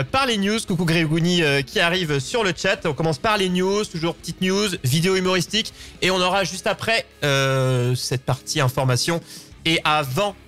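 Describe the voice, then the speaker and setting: A man with a fairly high voice presents a casual live show. He talks informally and jokingly, like a chatty host. Faint music plays behind him. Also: a bit bright.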